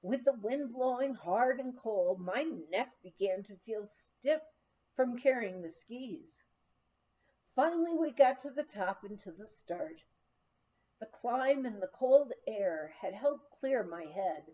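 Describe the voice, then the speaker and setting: A woman with a fairly high voice reads a humorous memoir aloud at a leisurely pace. Her delivery is expressive and full of lively rises and falls, in the manner of a storyteller. The recording is a little dull and lo-fi. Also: takes it slowly. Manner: animated storytelling, acting out quoted dialogue. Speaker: audiobook reader. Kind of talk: expressive reading of a humorous first-person memoir.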